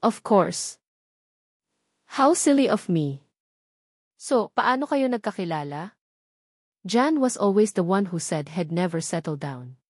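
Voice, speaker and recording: A woman's voice: lowish voice, sing-song, slow, long pauses, darkish tone, husky, no echo, clean studio sound, heavily acted. A woman with a Filipino accent voicing a smug, elegant society ex-girlfriend in an audio romance, sweetly condescending.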